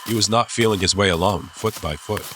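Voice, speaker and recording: Male narrator for an adventure audiobook: low voice, suspenseful delivery, clear ambient sound effects behind. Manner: suspenseful, deliberate phrasing. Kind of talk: scripted dramatic audiobook narration. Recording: clear background ambience noise.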